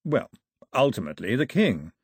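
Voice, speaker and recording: A man reading aloud and voicing a stately, fatherly official who speaks in a measured, placating, slightly pompous way. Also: medium pitch, sing-song, husky, dry recording, studio quality.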